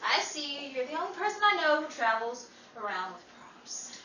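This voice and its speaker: An actress as a sensible, wry woman friend in a vintage radio comedy, gently exasperated. Her fairly high voice moves expressively, set back from the mic in an echoey room. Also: big pitch swings, moderate pace, full character voice. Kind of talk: 1930s radio comedy acting. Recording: distant, echoey, noisy recording with crowd.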